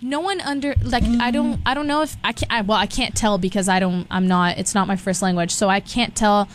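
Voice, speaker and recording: A woman's voice: medium pitch, fairly even intonation, brisk. Young woman, Brazil-raised, sounds Southern Californian, chatty rambling guest, faint background hiss. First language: Portuguese and Spanish. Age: young adult. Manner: rambling, many restarts and fillers. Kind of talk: casual interview chat.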